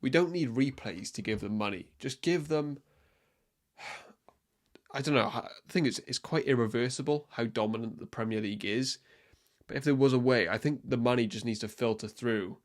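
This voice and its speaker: A man's voice: mid-range voice, normal speed. A young man from Wales, hosting a casual solo sports podcast and talking in an opinionated, rambling way with frequent ums and restarts. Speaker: podcast host.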